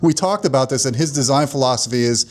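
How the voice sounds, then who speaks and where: A man's voice: mid-range voice, expressive, fast. A middle-aged man doing a casual on-camera interview as an audio reviewer, speaking into a handheld mic. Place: hotel demo room at an audio show. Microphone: small handheld mic.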